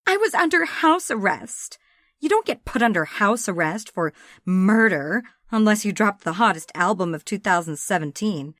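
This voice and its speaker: A woman's voice: medium pitch, very animated, normal speed, fairly bright tone, no room sound, studio quality. A woman voicing a brash, flippant, habitually lying woman with a blunt, emphatic manner in an audiobook. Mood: defensive, flippant. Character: brash, indignant gun-waving woman, a habitual liar.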